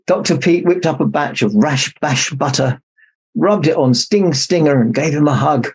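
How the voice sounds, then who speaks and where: A man narrating a children's story aloud as an expressive storybook reader. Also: fairly high pitch, normal speed, breathy edge. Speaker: storybook reader in Santa persona.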